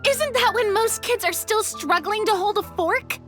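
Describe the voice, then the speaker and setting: A woman voicing a bubbly, expressive young woman in an anime-style game, an enthusiastic and dramatic friend. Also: very high-pitched, moderate pace, faint music behind. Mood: shocked, concerned. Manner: expressive, exclamatory.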